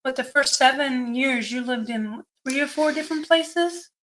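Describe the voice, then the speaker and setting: A middle-aged woman chats casually as a podcast co-host. Her voice sits a little high and has a sharp, bright edge. She is plain-spoken and teasing. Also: moderate pace, slight background noise, low-quality recording. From New Hampshire, United States. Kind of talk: casual conversation.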